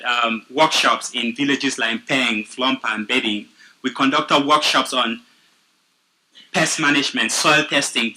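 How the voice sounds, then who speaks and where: A young man from Cameroon with a Cameroonian accent, earnestly pitching his nonprofit in a prepared speech. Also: low-quality recording. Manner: earnest, steady storytelling.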